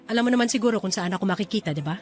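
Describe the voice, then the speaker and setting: A woman's voice: medium pitch, racing, a little mellow, soft background music, natural voice. A woman playing a cool, commanding jealous lover in a radio drama, speaking with pointed, sardonic control. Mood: icily polite. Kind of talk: Tagalog radio drama acting.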